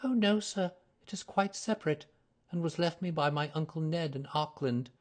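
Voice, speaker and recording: A man's voice: on the high side, moderate pace, voice pushed up, close to an everyday voice. A man reading the part of a flustered, chatty young woman client who rambles eagerly in a raised voice. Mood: rambling. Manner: eager, rambling, breathless pleading. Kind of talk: audiobook character reading.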